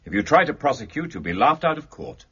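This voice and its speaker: An actor playing an urbane, self-assured gentleman detective with dry wit and teasing politeness in an audio drama. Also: fairly high pitch, big pitch swings, breathy edge, lo-fi sound, heavily acted. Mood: dry, provocative. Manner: unhurried, teasing, needling politeness.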